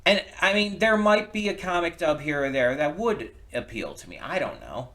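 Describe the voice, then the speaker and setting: A man giving casual, unscripted reaction commentary, thinking aloud in a reflective, halting way and often repeating words. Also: a little high, sing-song, a bit bright. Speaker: reaction video host. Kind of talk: casual unscripted commentary, post-viewing thoughts.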